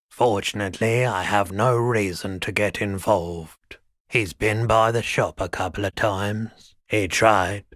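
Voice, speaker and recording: Deep, languid, philosophical game pawnbroker. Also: male voice, fairly bright tone, dry recording, clean studio sound. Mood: wry, detached. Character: laid-back, philosophical male pawnbroker, cryptic and unruffled. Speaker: video game character voice. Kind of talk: scripted game dialogue.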